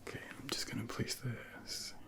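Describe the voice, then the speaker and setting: A man speaking softly and breathily in an ASMR medical role play as a junior doctor, gently reassuring and giving step-by-step instructions into a close binaural microphone. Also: normal speed. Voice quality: breathy, whispery, with heavy creak.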